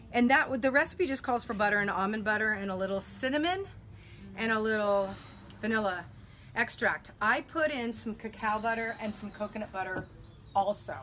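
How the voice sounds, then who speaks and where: A woman's voice: mid-range voice, moderate pace, a bit bright, slight background noise. A middle-aged woman casually walking through a recipe step by step on an outdoor deck, recorded by a camera from a distance. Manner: chatty, walks through steps while working. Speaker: cooking demonstrator. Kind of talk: casual cooking demo.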